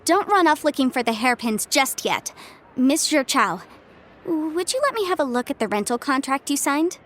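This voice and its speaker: A polite young lawyer girl, high and bouncy voice, bit of game music. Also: sing-song, a bit bright. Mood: poised. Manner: polite, measured, businesslike. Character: composed young female legal advisor, polite and precise. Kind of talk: game cutscene voice acting.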